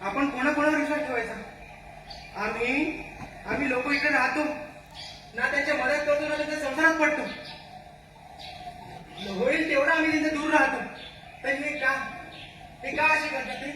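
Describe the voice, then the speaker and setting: Guy acting as angry forest tribe boss, really high bright voice, accusing everyone, stage play. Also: steady tone, moderate pace, long pauses. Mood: aggrieved pleading. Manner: declamatory, accusing, repeats rhetorical questions. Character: angry, distrustful forest-tribe leader condemning intruders. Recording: echoey hall, far mic, faint noise.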